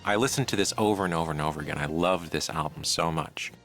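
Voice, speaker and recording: A man's voice: rumbling low, quick pace, fairly bright tone, faint music behind. A man talking reflectively in an interview as an audio drama producer.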